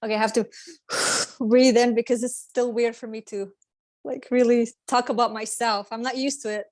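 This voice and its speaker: A woman's voice: a little high, fairly even intonation, moderate pace, dry recording. A woman from Sweden, a guest telling her life story in a hesitant way, full of fillers and restarts. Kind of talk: casual personal storytelling interview.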